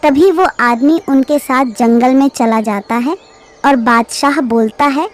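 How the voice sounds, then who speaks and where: A high-voiced woman narrates a children's cartoon fable. Her delivery is scripted storytelling. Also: unhurried.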